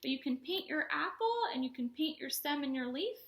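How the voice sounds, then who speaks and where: A woman hosting a storytime for young children, speaking in simple explanatory phrases with an animated, sing-song delivery. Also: high-pitched, fairly quick. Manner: animated, exaggerated questions and exclamations for young children. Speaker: children's librarian and storytime host.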